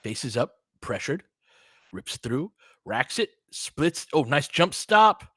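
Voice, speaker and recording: A man's voice: fairly high pitch, very animated, slow pace, a bit bright, slightly rough, no room sound, clean studio sound. Man calling live basketball, clipped and casual. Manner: clipped short phrases, informal slang, partisan fan reactions. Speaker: play-by-play commentator. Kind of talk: casual live basketball play-by-play commentary with analysis.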